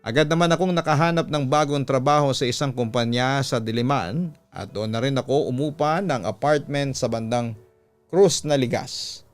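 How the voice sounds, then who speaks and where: A man reading a scripted personal story as a radio-style narrator, with a clear, smooth voice. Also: medium pitch, normal speed. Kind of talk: scripted narration of a listener's letter, then advice. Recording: background music under voice. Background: music.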